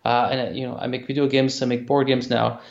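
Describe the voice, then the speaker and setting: A man with a French accent, a game company founder talking in a casual interview, halting with many restarts. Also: mid-range voice, level tone, gravelly, roomy sound, highs cut off. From France. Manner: halting, many restarts and fillers, laughs easily. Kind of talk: casual interview over a call.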